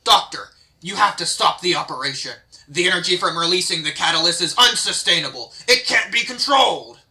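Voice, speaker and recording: A man's voice: high voice, expressive, fairly quick, bright, forceful tone, heavily acted. A man playing an intense anime scientist, declamatory and melodramatic, in an amateur table read. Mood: alarmed.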